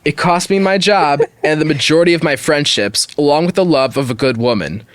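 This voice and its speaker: A man's voice: a little high, a little fast, no room sound. A man hosting a podcast, reading an online story aloud in a casual way with wry, jokey asides. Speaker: podcast host.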